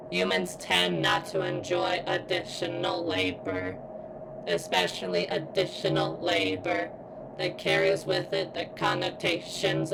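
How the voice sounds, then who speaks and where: A woman voicing a damaged female surveillance robot in an ASMR roleplay, speaking in formal, clinical, halting phrases with a strained, pained delivery. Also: a bass voice, gravelly, background noise, full character voice. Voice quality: strained, as if in pain. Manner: formal, clinical, stilted wording with halting pauses. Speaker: voice actor in audio roleplay. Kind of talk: ASMR roleplay, scripted character monologue.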